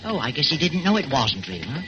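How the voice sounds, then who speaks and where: An actor playing a loyal, puzzled Cockney sidekick in an old-time radio thriller, colloquial and wondering. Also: male voice, fairly high pitch, very animated, a little fast. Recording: muffled old radio recording with music.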